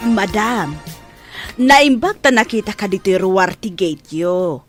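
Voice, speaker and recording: Slow-talking Filipina fish vendor. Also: female voice, medium pitch, animated, music in the background. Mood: polite, coaxing. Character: polite, coaxing female fish vendor. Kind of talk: radio drama acting.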